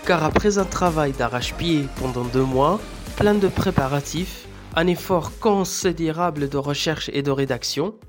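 A man in his thirties, a native Arabic speaker, hosting a podcast with measured, scripted narration. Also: fairly high pitch, faint music behind. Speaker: podcast host and Arabic teacher.

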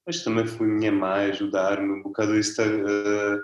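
Italian-accented man, low and flat. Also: normal speed, echoey room, highs cut off. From Italy. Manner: relaxed, hesitant, searching for words. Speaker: festival director. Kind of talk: interview guest.

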